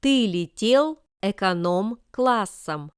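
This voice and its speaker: A woman reading scripted example sentences for language learners with careful, deliberate enunciation. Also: mid-range voice, animated, smooth, dry recording, studio quality. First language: Russian. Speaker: language lesson reader.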